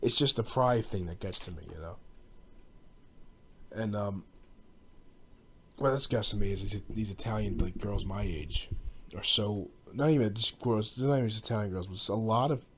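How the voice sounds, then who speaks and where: A man's voice: on the low side, normal speed, pauses often, fairly bright tone, slightly rough. A young American man hosting a podcast, rambling casually and comedically in an exasperated way, with plenty of swearing and bro slang. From United States. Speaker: podcast host.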